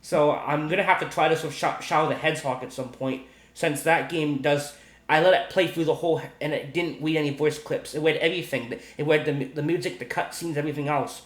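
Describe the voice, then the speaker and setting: Man, slightly high voice, echoey room, casual gaming host, unscripted, halting and repetitive delivery. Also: steady tone. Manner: halting, repetitive, circles back over points. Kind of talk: casual unscripted game modding explanation.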